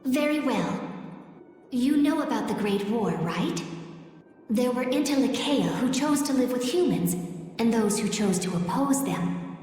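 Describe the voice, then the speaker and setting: Wind spirit for a fantasy game, female, high-ish, stately and composed, drenched in echo. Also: animated, unhurried, a little mellow. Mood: solemn, composed. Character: solemn newly born female wind spirit, composed and formal. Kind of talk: video game voice acting. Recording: long cavernous reverb, music behind.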